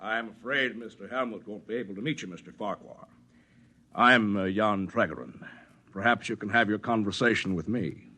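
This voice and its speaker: A suave schemer, speaks with measured courtesy. His lowish voice has a bright edge. It is 1950s radio drama, with faint noise behind. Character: suavely polite male foundation schemer. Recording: slightly noisy 1950s radio recording.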